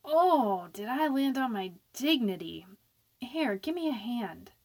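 An actress plays a brash, impulsive young woman in old-time radio style. Her high voice swoops freely. She talks in breezy slang and speaks her mind bluntly. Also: expressive, takes it slowly, a little mellow, full character voice. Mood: rueful.

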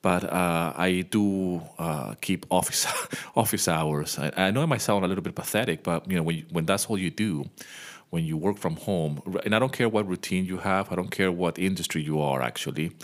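Deep-voiced man chatting to listeners. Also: normal speed. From Venezuela. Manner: chatty, speaks straight to the listener. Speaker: podcast host, music composer. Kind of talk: casual podcast monologue. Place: home.

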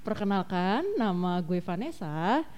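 A woman's voice: mid-range voice, a little slow. A young woman co-hosting a student podcast, casually steering the questions. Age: young adult. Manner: steady, prompts questions.

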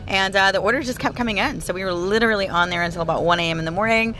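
Brief: bubbly, hoarse woman in her 30s, mid-pitched, talking to her audience from the driver's seat, soft car hum behind. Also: very fast. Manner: chatty, bubbly, addresses listeners as "you guys". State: hoarse, tired voice. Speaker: audio blog host. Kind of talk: casual daily vlog chat. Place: inside a car while driving. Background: car engine and road noise.

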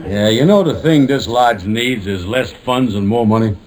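A man's voice: mid-range voice, moderate pace, close to an everyday voice. An actor playing an easygoing, blunt, girl-chasing lodge brother in a 1950s radio sitcom, with folksy, ungrammatical speech and pompous big words. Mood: blunt warning. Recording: slightly noisy 1950s radio recording.

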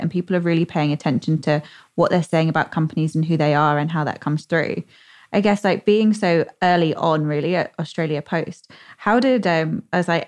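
A woman's voice: low-pitched, a little mellow. A woman hosting a podcast, asking loose, rambling questions in a casual way. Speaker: podcast host.

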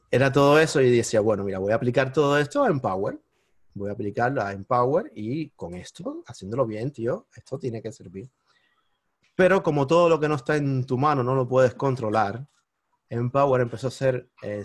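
A man with a Cuban accent, a podcast guest telling his story in lively casual conversation and bursting into high exclamations at the big moments. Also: no room sound. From Cuba. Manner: animated, jumps into high-pitched exclamations when retelling moments.

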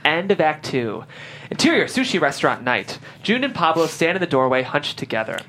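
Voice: medium pitch, very animated, fairly quick, fairly bright tone. A narrator reading scripted stage directions at a table read.

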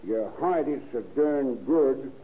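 An actor playing a plain-spoken, rustic American miner in an old radio drama. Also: male voice, mid-range voice, slow, slight background noise, lightly acted. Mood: mildly exasperated. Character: easygoing, folksy older miner, a loyal partner. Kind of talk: 1940s radio acting. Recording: thin, narrow-band old radio recording.

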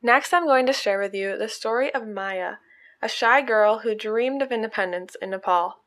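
A woman's voice: on the high side, moderate pace, a bit bright, faint music behind. Earnest woman reading stories aloud. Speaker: podcast host.

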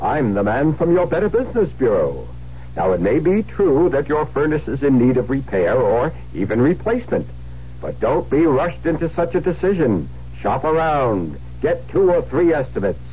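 A man's voice: on the high side, a little hiss or hum. A man giving scripted advice as the announcer of a radio public service spot. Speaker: advertisement announcer and adviser. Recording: lo-fi radio broadcast.

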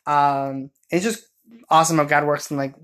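A man telling a personal story in casual conversation, in a rambling, halting way, often correcting himself as he goes. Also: a little high, fairly even intonation, a bit bright.

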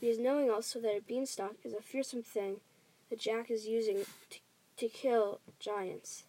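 Woman reading as a gentle, cautious storybook giant. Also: on the high side, steady tone, moderate pace, close to an everyday voice, poor audio. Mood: confiding. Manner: hushed explaining with quaint muddled grammar. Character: gentle male giant, cautious, kindly, quaintly muddled in speech.

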